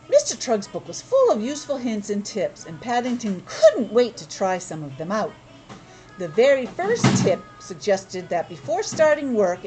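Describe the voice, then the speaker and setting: A woman's voice: on the high side, warm tone, rough recording. A woman reading a picture book aloud to young children as a teacher, breaking off for chatty asides, explanations and questions. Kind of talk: read-aloud storytelling with casual asides.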